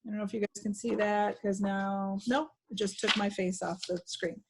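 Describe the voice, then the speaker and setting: A woman's voice: mid-range voice, steady tone, moderate pace, noisy background. A woman trainer giving an informal, instructive walkthrough to staff over a video call. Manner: instructive, conversational. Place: video call with screen sharing.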